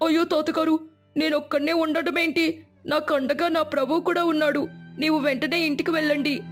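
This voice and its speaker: Woman acting a little boy, innocent, caring, anxious, high voice, storybook style, background music. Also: steady tone, moderate pace, close to an everyday voice. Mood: polite, innocent.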